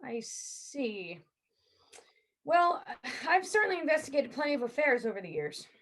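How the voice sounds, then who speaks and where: Actress as female private investigator, high voice, courteous, cautious, skeptical, hesitant questioning, stage play reading. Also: sing-song, normal speed, a bit bright. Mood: businesslike, probing.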